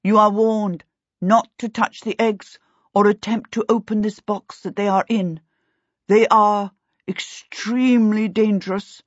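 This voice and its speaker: A woman voicing a tall, calm male elf who speaks in a measured, precise and coolly polite way. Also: mid-range voice, no echo, voice pushed up, natural voice. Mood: calm formal. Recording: dull, dry sound.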